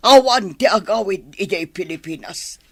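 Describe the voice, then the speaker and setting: A woman's voice: medium pitch, expressive, normal speed, husky, dry recording. An actress with an Ilocano accent playing a mother who pleads with and scolds her grown son in a radio drama. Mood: worried.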